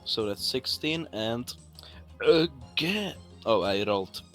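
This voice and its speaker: Male game player, slow pace, terse casual remarks, faint music behind. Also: medium pitch, animated, a bit bright.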